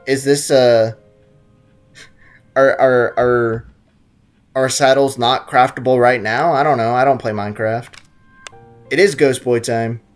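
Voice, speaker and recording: A man's voice: medium pitch, a little slow, long pauses. Brief: young male let's-player, loose rambling jokes, faint music bed. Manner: joking, rambling, excitable. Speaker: gaming YouTuber. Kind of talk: casual let's-play commentary. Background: game music.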